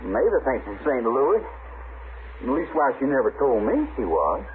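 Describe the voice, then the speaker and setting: Man acting a lively, folksy frontier rancher. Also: mid-range voice, normal speed, natural voice. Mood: puzzled, grave. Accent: rural Western American. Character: proud, stubborn, folksy frontier rancher, newly married. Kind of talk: 1950s radio drama acting. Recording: tinny old radio recording, faint noise.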